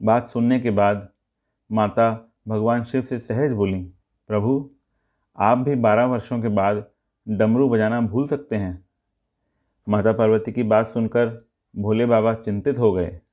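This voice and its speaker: Male storyteller, lowish pitch, measured phrasing for scripted moral tales, slightly dull recording. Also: fairly even intonation, darkish tone. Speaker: storyteller and channel narrator.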